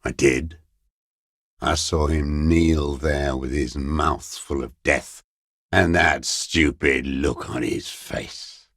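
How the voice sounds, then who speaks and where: Casting: a bitter old sniper in hiding, video game; creaky, gravelly, scornful, very dry close sound. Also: male voice, extremely low pitch, steady tone, fairly bright tone, no echo, exaggerated voice. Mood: grim, unrepentant. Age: older. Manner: slow, contemptuous, bitter invective. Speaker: video game character actor. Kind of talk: dramatic video game voice acting.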